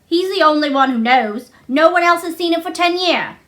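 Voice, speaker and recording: A woman's voice: very high-pitched, expressive, normal speed, fairly bright tone, pitched above the performer's own voice. A woman voicing a surly, easily indignant old male gardener in a storybook, with curt, grunting dialect speech.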